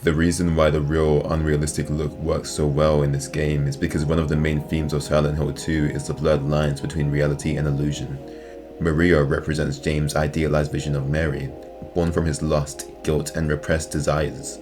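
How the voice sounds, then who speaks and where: Very deep-voiced man narrating over music. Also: flat delivery, moderate pace. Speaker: video essay host. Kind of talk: scripted analytical narration.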